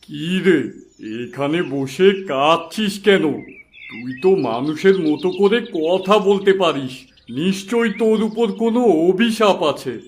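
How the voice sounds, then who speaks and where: A man's voice: high voice, lively intonation, unhurried. A man playing a benevolent wandering holy man in a cartoon, kindly and instructive, with music underneath. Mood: kindly, curious. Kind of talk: Bengali cartoon story acting.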